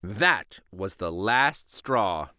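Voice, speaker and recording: A man hosting a children's read-aloud, narrating a storybook in a slow, expressive and soothing way. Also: on the low side, no echo, clean studio sound.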